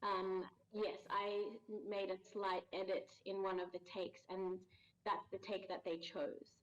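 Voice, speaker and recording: A woman's voice: medium pitch, level tone. Thoughtful woman on a dull lo-fi line. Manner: measured, thoughtful, explains at length with pauses. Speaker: guest voice actor. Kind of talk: casual interview chat. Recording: dull lo-fi sound.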